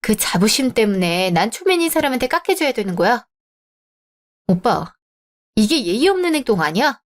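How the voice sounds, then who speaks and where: A woman voicing a calm, firm young bride-to-be. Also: a little high, normal speed. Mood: upset. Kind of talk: Korean radio drama.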